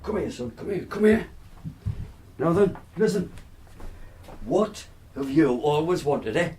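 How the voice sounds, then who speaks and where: A man playing a gruff working-class father who smokes, in a radio drama, with a Geordie accent. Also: on the high side, expressive, a little slow, darkish tone. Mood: insistent. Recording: distant from mic, faint room noise.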